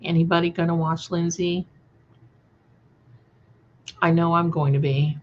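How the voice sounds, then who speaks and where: A woman hosting a casual livestream, chatting to viewers in an affectionate, effusive and somewhat halting, scattered way. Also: fairly low pitch, flat delivery. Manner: affectionate, effusive, addressing listeners with endearments. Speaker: livestream host and creator. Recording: dull, lo-fi livestream audio.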